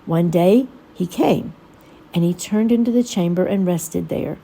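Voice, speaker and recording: A woman teaching a Bible lesson in a reflective, measured way, pausing between short phrases. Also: lowish voice, vocal fry, mellow, husky. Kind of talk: Bible lesson with scripture reading.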